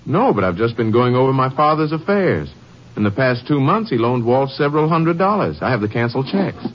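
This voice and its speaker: An American actor playing an earnest, bereaved grown son in a 1950s radio drama, hesitant and polite. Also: male voice, medium pitch, very animated, a little fast, a little hiss or hum, lightly acted. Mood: shocked, puzzled. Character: earnest, bereaved adult son, commercial artist. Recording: muffled old 1950s radio recording.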